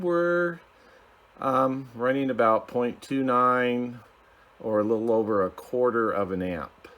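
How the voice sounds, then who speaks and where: Man, slow pace, mid-pitched, casual demo style, halting, plainspoken, methodical. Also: a bit bright. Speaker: host of a hobby radio video. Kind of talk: casual hands-on demonstration.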